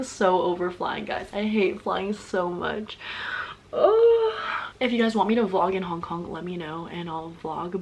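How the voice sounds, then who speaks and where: A woman's voice: mid-range voice, a little hiss or hum. Young female vlogger, casual and chatty, addresses the audience directly, piles on repeated words. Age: young adult. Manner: chatty, talks straight to viewers, stacks repeated words for emphasis. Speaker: vlogger and athlete. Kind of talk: casual story-time vlog.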